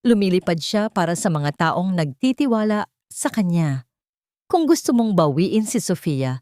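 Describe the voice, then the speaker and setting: A woman's voice: mid-range voice, a little mellow, no echo, studio quality, lightly acted. Woman as a firm, stern male colonel. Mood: stern, urging. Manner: firm, emphatic. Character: stern male colonel, exacting flight mentor.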